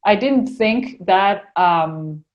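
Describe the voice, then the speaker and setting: A woman's voice: mid-range voice, lively intonation, drawn-out words, highs cut off. A young woman with an Argentinian accent, telling her story as a podcast guest in casual conversation, full of fillers and restarts. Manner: chatty, many fillers and restarts.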